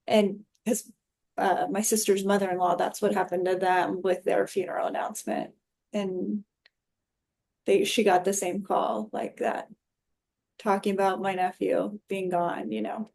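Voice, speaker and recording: A woman's voice: mid-range voice, level tone, a little slow, creaky, a little mellow. A woman with a mid-range voice contributes to a group discussion. She talks conversationally, as someone sharing an anecdote. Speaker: discussion participant. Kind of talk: casual anecdotal talk.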